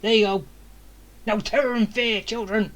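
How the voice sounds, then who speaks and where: A woman's voice: mid-range voice, steady tone, moderate pace. A woman streaming a game, giving casual, jokey, reactive commentary as she plays.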